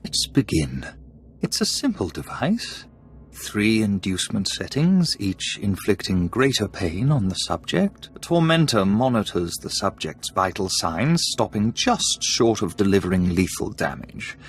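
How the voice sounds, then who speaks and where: An actor playing a cold, contemptuous Sith overseer who gives curt orders in a science fiction game. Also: male voice, lowish voice, big pitch swings, unhurried. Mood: coldly instructive. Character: stern, contemptuous Sith overseer instructing an acolyte in torture.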